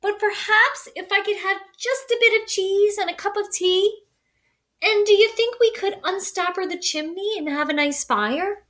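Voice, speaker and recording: A woman voicing a small, polite, gently persistent male mouse in a children's storybook, speaking daintily and courteously. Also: very high voice, moderate pace, voice pushed up. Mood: politely hopeful. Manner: courteous, dainty, softly apologetic. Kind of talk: children's storybook character reading.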